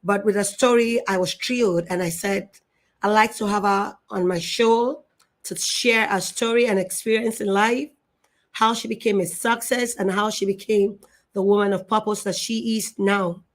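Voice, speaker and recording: A woman's voice: mid-range voice, fairly even intonation, normal speed. A middle-aged woman hosting a live online show, effusive and emotional, repeating herself and restarting her sentences. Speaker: show host.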